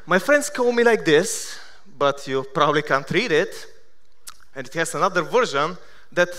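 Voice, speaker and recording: A man in his thirties from Bulgaria with a Bulgarian accent, giving a conference keynote with self-deprecating deadpan humor. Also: a little high. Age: 30s. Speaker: conference speaker. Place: large conference hall.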